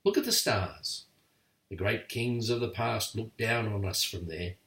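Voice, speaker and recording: An older man voicing a stern, wise lion king and father in a firm, steady voice. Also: on the low side, unhurried. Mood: tender. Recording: echoey room, far from mic.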